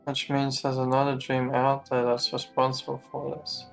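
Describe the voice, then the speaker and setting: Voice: medium pitch, monotone, moderate pace, gravelly. A game streamer giving casual live commentary in blunt asides. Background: faint game music.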